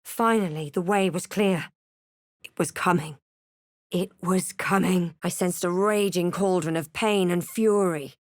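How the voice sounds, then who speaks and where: A snarky adult female fantasy adventurer, acted by a woman for a video game. Her voice sits a little low and is recorded close and dry. She speaks in a crisp, theatrical way, proud and sardonic. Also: unhurried, dry recording, close to an everyday voice. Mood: grave recounting. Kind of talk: scripted game character lines and narration.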